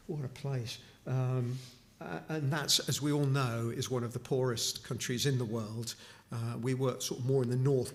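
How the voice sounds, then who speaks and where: Man, middle-aged, informal church talk, conversational asides, mid-pitched voice. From Newcastle upon Tyne, England. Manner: conversational, with frequent restarts and asides. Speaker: charity speaker at a chapel.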